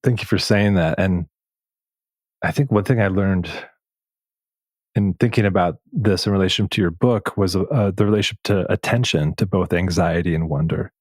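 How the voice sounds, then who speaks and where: A man with a low, deep voice hosts a thoughtful interview. The sound is dry and close. He muses in an earnest, appreciative way, working gradually toward what he wants to ask. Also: normal speed, a little mellow, dry recording. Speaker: podcast host, interviewer. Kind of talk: reflective, conversational interviewing.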